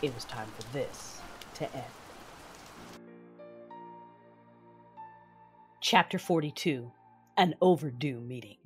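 A woman's voice: rumbling low, lively intonation, normal speed. A woman playing an intense, battle-hardened Sith mother who narrates her own story theatrically in an audio drama. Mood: brooding, tense. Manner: brooding, theatrical, emphatic outbursts. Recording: music bed with rain sounds behind.

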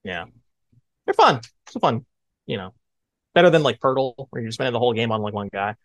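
Animated man talking sports, dry close sound. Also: mid-range voice, fairly quick, no echo. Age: 30s. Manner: animated, mimics exclaimed reactions. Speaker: sports podcast host. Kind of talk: casual sports debate chat.

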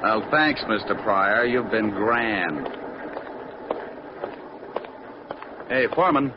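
Hard-boiled private eye, dry and flippant, deep-set voice, old radio drama, muffled sound. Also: male voice, expressive, a little slow, noisy background. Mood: sardonic. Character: wisecracking hard-boiled male private detective. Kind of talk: 1940s radio detective acting and first-person narration.

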